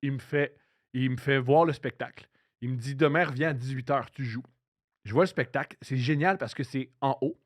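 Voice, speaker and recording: A male comedian with a Québécois French accent, excitedly telling an anecdote in a casual podcast chat. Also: medium pitch, moderate pace, no room sound, studio quality. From Quebec. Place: recording studio.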